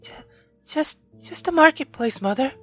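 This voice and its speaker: Frightened, high-pitched young girl, stammering. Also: lively intonation, moderate pace, soft background music. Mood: startled, nervous. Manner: stammering, pleading. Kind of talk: dramatic visual novel reading.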